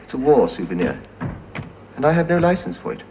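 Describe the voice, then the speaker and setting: An actor playing a soft-spoken male war veteran in a radio drama, dry and politely ironic. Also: on the high side, sing-song, fairly quick, slight background noise, rough recording. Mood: wry. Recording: music playing underneath.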